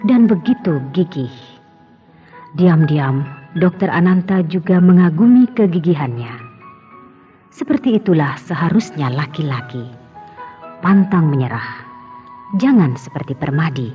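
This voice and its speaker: A woman narrates a radio drama serial in a dramatic scripted style. The recording is dull, with music softly underneath. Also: fairly low pitch.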